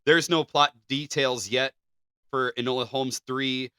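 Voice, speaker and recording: A man's voice: medium pitch, fairly even intonation, a little slow, sharp tone, clear, resonant tone, no room sound, studio quality. A man co-hosting a podcast, moving between reading entertainment news and casual banter. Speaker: podcast co-host.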